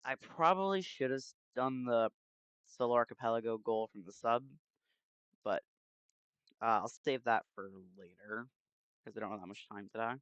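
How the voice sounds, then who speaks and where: A man chatting casually and haltingly as he hosts a livestream, speaking into a headset mic. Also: mid-range voice, a bit bright, smooth, no echo, highs cut off. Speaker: game streamer, host. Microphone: new headset microphone.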